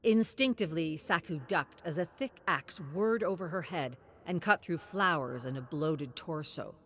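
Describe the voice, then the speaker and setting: A woman narrating a scripted dark horror story in a measured, dramatic way with short, deliberate phrases. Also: sits low, slight background noise.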